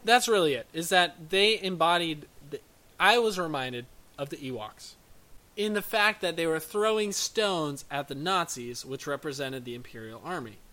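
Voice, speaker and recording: A man co-hosting a bad-movie podcast, halting with frequent restarts in casual chat. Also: fairly high pitch, expressive, sharp tone. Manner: halting, restarts a lot, laughs easily. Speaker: podcast co-host.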